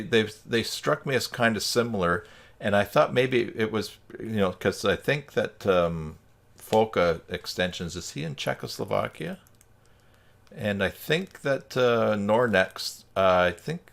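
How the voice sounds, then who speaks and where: A man's voice: fairly low pitch, creaky, a bit bright. A man in casual conversation on a group video call, thinking aloud in a rambling, tentative way. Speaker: participant in a web tutorial livestream.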